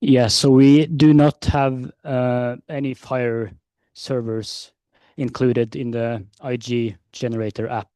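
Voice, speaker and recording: A man presenting at a conference, answering questions in a measured, hesitant way. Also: medium pitch, level tone, dark tone, clear, resonant tone, no echo. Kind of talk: conference Q&A explanation. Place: conference session room.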